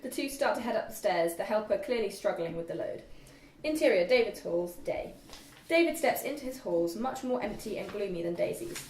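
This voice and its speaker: A woman's voice: medium pitch, animated, a little fast, a little mellow. A young woman reading screenplay directions aloud as narrator at a group table read. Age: young adult. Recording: distant echoey room, faint noise.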